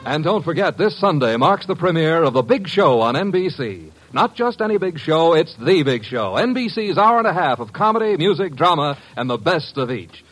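Husky, animated vintage radio announcer, male. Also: fairly high pitch, rough recording. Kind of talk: 1950s network radio announcing. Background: faint music.

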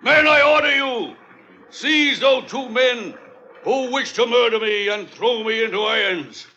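High-voiced, desperate sea captain. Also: male voice, measured pace, bright, forceful tone, slight background noise, heavily acted. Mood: desperate oratory. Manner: oratorical, pleading and imperious. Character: frightened, self-righteous old-time sea captain pleading for life. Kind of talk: old-time radio drama acting. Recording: muffled vintage radio recording.

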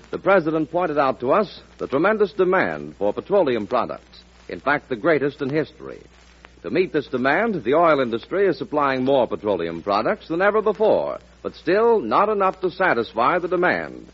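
A man's voice: on the high side, slightly rough. An American man reading a vintage radio public-service announcement as an announcer, in an emphatic, instructive way. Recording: dull, muffled old radio recording.

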